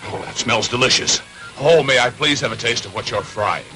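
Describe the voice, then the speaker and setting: A man's voice: mid-range voice, moderate pace, music in the background, natural voice, poor audio. A man voicing a big, hungry, good-hearted dog in a dubbed cartoon, eager and exclamatory. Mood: hungry, begging. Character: hungry big dog, polite, brave, reassuring.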